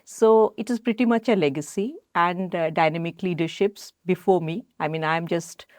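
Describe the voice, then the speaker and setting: A woman's voice: fairly low pitch, a little mellow, no echo. A middle-aged woman from Odisha with an Indian English accent, a college principal speaking thoughtfully as a podcast guest. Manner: thoughtful, articulate, measured. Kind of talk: podcast interview.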